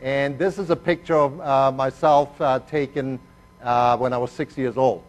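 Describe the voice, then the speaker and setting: An older man lecturing on chemistry with a blended foreign accent, his first language being Spanish. He rambles through asides with plenty of "uh" pauses and a wry, self-mocking humor. His pitch sits at an ordinary middle level for a man. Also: steady tone. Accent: mixed foreign accent, Spanish first language. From Argentina. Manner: digressive and self-deprecating, with frequent "uh" pauses. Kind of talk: university lecture.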